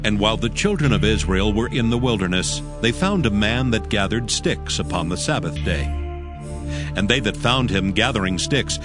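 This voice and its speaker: A man's voice: lowish voice, animated, moderate pace, over music. A man narrating scripture from a script in a formal, solemn manner.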